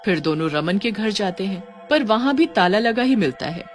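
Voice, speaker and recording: A woman's voice: mid-range voice, animated, moderate pace, faint music behind. A woman reading scripted narration for a cartoon story.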